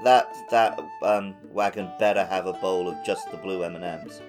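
A man's voice: low-pitched, steady tone, normal speed, music in the background. A man co-hosting a gaming podcast in casual chat, with a dry, joking manner. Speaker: podcast co-host. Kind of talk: casual chat about a video game.

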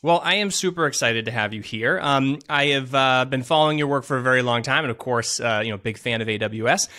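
A man's voice: medium pitch, fairly quick, pushed and bright. A man hosting a tech podcast, enthusiastic and loose, with lots of ums and you-knows. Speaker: podcast host. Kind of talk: casual podcast interview.

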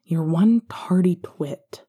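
A woman's voice: fairly low pitch, slow, mellow, slightly rough. A woman voicing a wry, affectionate man in his late thirties, a teasing godfather in a story. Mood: wry sigh. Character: wry, affectionate 39-year-old bachelor godfather.

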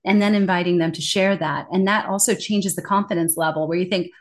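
A woman talking in a conversational interview as a communication trainer, animated and emphatic, laughing often. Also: on the low side, brisk.

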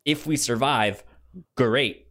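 Male podcast co-host, medium pitch, loose conversational delivery, halting with repeated word starts. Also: fairly bright tone. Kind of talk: casual film discussion.

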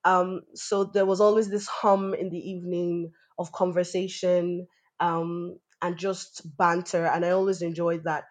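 A woman from Nigeria, a spoken word artist, recalling her childhood in a relaxed, reflective way. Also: fairly low pitch, unhurried. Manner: relaxed, reflective, many fillers. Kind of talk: casual interview. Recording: soft music bed behind voice.

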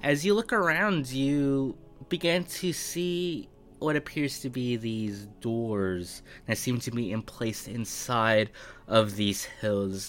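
A man narrating a tabletop game as game master, improvising descriptions in measured, halting phrases. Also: medium pitch, fairly bright tone. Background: faint background music.

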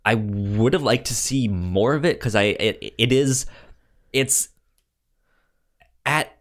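Slow, halting man on a podcast. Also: medium pitch. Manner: halting, stammering delivery with repeated words. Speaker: podcast co-host. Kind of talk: casual chat about films.

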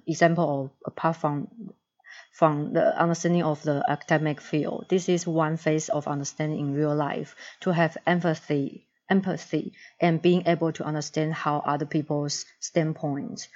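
A woman with a Taiwanese accent talks about learning in a low, even voice. She picks her English words with care, pausing to find the right phrase. The recording sounds dull and lo-fi. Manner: searches for words, careful phrasing. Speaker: education student in discussion. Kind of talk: casual discussion.

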